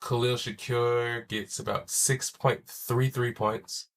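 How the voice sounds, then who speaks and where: A man's voice: mid-range voice, flat delivery, slow pace, a little hiss or hum. A young man hosting a casual solo sports podcast, loose and slangy, sounding wheezy and stuffy from a chest cold. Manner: loose and conversational, swears, slangy asides. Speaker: podcast host.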